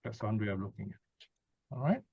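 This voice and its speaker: A man's voice: lowish voice, very animated, brisk, vocal fry, dark tone, husky, no echo. A man giving an informal Buddhist teaching talk and answering questions, conversational and often checking in with short tag questions. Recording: dull lo-fi sound, dry.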